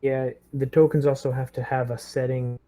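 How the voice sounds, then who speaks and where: Man, dark mellow voice, medium pitch, casual game player, explanatory. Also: little pitch movement, moderate pace. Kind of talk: casual chat, explaining and organizing.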